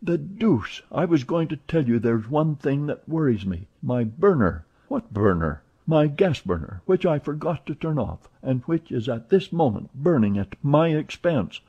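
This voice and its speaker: A man voicing an excitable, chatty young French manservant who talks eagerly and protests often, in a dull lo-fi recording. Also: fairly high pitch, slightly rough, pitched above the performer's own voice, full character voice. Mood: excited. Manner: eager, exclamatory, quick to protest.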